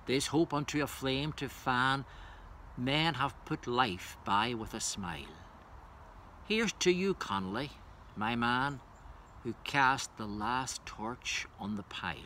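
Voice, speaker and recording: A man speaking as a poetry reader, introducing and reciting verse in a measured way. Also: medium pitch, expressive, sharp tone, a little hiss or hum.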